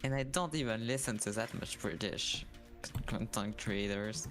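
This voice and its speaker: A man's voice: on the low side, a little slow, fairly bright tone, soft background music. A man chatting casually on a livestream, easygoing, mostly giving brief replies. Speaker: co-streamer.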